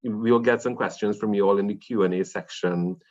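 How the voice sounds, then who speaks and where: Low-voiced man moderating a video call. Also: steady tone, fairly quick. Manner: hesitant, many fillers. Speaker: moderator of an online workshop. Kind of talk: introducing a panel discussion. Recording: dull lo-fi video call audio.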